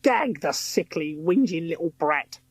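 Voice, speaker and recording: Man voicing a scheming inventor villainess, high and husky, sneering. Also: animated, a little slow, dry recording. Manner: sneering, hissing.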